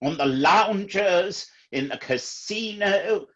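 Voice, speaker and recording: A man's voice: high voice, lively intonation, takes it slowly, fairly bright tone, full character voice. A man playing an exasperated, aggrieved clergyman and family father in a comic radio play, fussy and indignant. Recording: dull home recording, music underneath.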